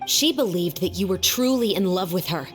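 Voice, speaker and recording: A woman playing a stern, principled young police captain in a video game, speaking firmly and in a measured way. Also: on the low side, lively intonation, in a large hall, soft background music, lightly acted. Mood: stern, accusing. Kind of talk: dramatic game voice acting.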